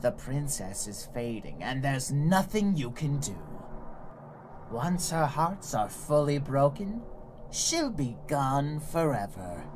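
A woman's voice: a bass voice, big pitch swings, slow pace, background noise, heavily acted. A woman voicing a small, scheming bird-like male monster villain in a cartoon comic dub, taunting and theatrical. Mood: gloating menace.

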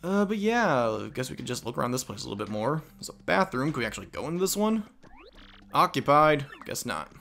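Young male let's player, casual and self-mocking, lively pitch, faint music behind. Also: brisk, creaky. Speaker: let's play host. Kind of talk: casual gameplay commentary.

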